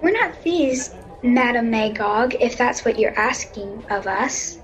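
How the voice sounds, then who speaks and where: A woman's voice: on the high side, expressive, takes it slowly, muffled recording. A young female performer playing an earnest, principled girl adventurer who serves a goddess, in a radio-style serial.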